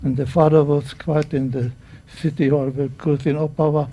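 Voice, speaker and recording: A man in his nineties, a native German speaker, nervously giving personal testimony at a microphone in halting English. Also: fairly high pitch, flat delivery, dark tone, highs cut off, slight background noise. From Czechoslovakia. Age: 90s. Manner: halting, searching for words, many restarts. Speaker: guest speaker at a public event.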